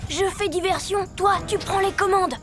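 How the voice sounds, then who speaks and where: A woman voicing an earnest, eager six-year-old superhero boy in an animated cartoon dub. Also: extremely high pitch, normal speed. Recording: music under dialogue.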